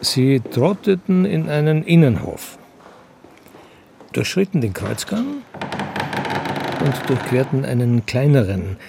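A man narrating a radio crime drama in scripted literary prose with a gravelly voice. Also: mid-range voice, big pitch swings, slow, pauses often, background noise, exaggerated voice. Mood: dry, descriptive.

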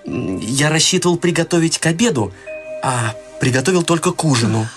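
A man's voice: a little high, lively intonation, brisk, faint music behind. An actor playing an earnest, devoted young man in a radio play, with a shy, sincere way of talking. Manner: earnest, shy, turns urgent when alarmed.

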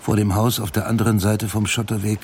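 Man acting low, gravelly widowed father. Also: level tone, fairly quick, slight background noise, lightly acted. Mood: somber, reflective. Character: grieving widowed father looking back on a fresh start. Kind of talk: radio drama monologue. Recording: music laid loudly under the voice. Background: music.

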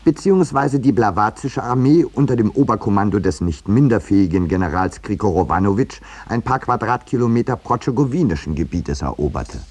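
An actor playing an urbane, slightly pompous middle-aged painter who tells his story with measured irony in a 1950s radio play. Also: fairly low pitch, lively intonation, darkish tone, slight background noise, lightly acted. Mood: wry, reflective. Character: landscape painter Eduard Merlin, urbane wry storyteller, looking back.

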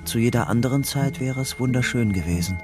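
An actor playing a guarded young ex-convict who acts in and narrates a radio crime drama. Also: male voice, medium pitch, brisk, music in the background, natural voice. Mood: brooding narration.

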